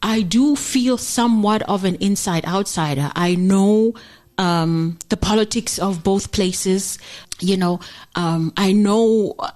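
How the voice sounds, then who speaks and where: A woman's voice: mid-range voice, slow pace, darkish tone. A middle-aged woman from South Africa, a jazz singer chatting as an interview guest, reflective and full of fillers and restarts. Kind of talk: casual interview chat.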